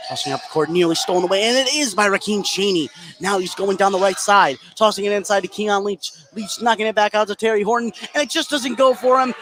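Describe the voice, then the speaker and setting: A man's voice: high-pitched, fast, hardly any pauses. A man doing live play-by-play commentary of a basketball game. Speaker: play-by-play announcer. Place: courtside in a school gym. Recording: noisy gym broadcast. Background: loud gym ambience.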